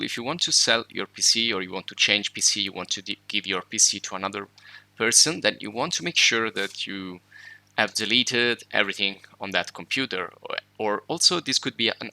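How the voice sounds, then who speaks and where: Italian-native male tutorial host, gravelly and sharply bright, dry close mic, casual explanatory delivery. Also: lowish voice, dry recording. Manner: relaxed, explanatory, with fillers and self-corrections. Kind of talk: screen-recorded tutorial walkthrough.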